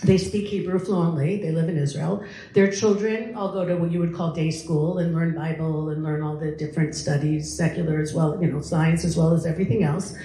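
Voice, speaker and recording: A woman's voice: low voice, fairly even intonation, fairly quick, mellow. A middle-aged or older woman giving a conversational public lecture, explaining her opinions and repeating words for emphasis. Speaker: guest speaker. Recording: echoey room with faint background noise.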